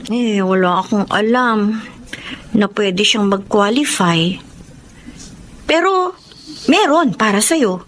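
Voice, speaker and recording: An actress with a Filipino accent playing a helpful, persuasive friend in a radio drama. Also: female voice, medium pitch, expressive, slow, pauses often, a bit bright, a little hiss or hum. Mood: concerned. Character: helpful, persuasive female friend offering a job. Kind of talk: Tagalog radio drama acting.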